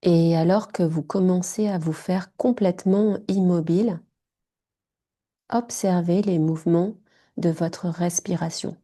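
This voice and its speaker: A woman guiding a yoga nidra relaxation in short, hushed, soothing phrases. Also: lowish voice, fairly even intonation, a little slow. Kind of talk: guided meditation.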